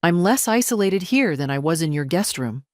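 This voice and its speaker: A woman voicing a composed, firm older mother in an audio story, measured and dry in manner. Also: lowish voice, animated, darkish tone, no echo, voice pushed up, lightly acted. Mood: calm, firm.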